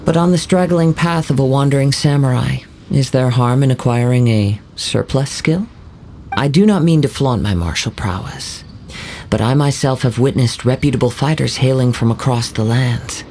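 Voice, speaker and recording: A man voicing a calm young wandering samurai who speaks in a formal, measured, courteous way. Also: mid-range voice, slight background noise, close to an everyday voice. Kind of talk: video game voice acting.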